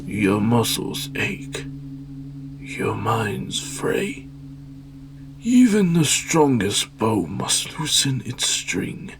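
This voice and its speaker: Guy as stern old-school martial arts master, husky, super slow, big swings in pitch, solemn. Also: very animated, soft background music. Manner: solemn, measured, proverbial. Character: stern, wise father and dojo master. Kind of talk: dramatic audio drama acting.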